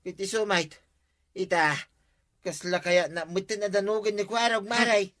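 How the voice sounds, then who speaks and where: A man's voice: sits high, steady tone, normal speed, a bit bright, no room sound, clean studio sound. A man with an Ilocano accent playing a hapless, complaining village husband in a comedy drama. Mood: evasive.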